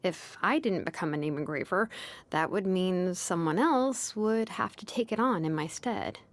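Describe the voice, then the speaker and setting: A woman voicing a laid-back, sleepy young female blacksmith and name engraver from a video game, languid and nonchalant with soft hesitations. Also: lowish voice, expressive, moderate pace, no echo, lightly acted. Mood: matter-of-fact. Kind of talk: video game character voice lines. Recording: music behind the voice. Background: music.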